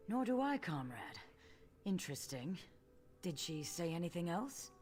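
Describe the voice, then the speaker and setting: Grave lizard-folk commander lady for a game, lowish voice, measured and in charge. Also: big pitch swings, darkish tone, slightly rough, faint music behind, exaggerated voice. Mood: pensive. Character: grave, concerned female Argonian commander addressing a recruit as comrade. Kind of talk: video game voice acting.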